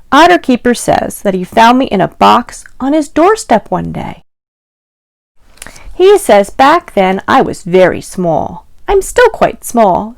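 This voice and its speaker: Woman reading as a lively little storybook otter. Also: medium pitch, gravelly, no room sound. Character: small cheerful young otter telling its own story.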